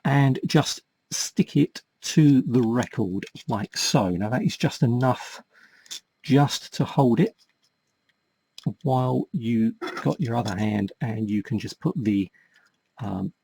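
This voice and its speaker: A man with a slightly husky voice giving a casual step-by-step repair demonstration, explaining patiently and a little hesitantly as he works with his hands. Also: mid-range voice, slow pace, mellow. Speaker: host of a toy repair tutorial.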